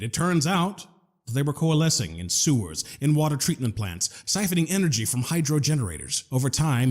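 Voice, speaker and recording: A man playing a sardonic, well-spoken gentleman scientist in an audio drama, with a wry, wordy, formal manner. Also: mid-range voice, breathy edge. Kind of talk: scripted audio drama acting.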